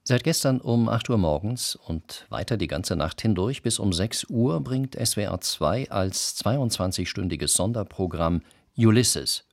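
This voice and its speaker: A male radio announcer with a deep voice and plenty of gravelly creak. He reads a scripted programme announcement in a dry, close studio sound. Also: no echo.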